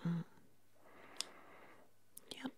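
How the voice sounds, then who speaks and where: A woman doing a gentle, pampering ASMR roleplay, speaking with many pauses. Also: a little hiss or hum. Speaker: ASMR performer. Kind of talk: whispered ASMR personal attention roleplay.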